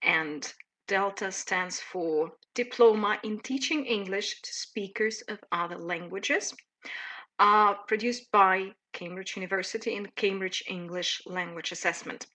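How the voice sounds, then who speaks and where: A woman in her thirties with a Russian accent, presenting a live teaching webinar in a chatty, lively way with many fillers. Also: mid-range voice, unhurried, rough recording.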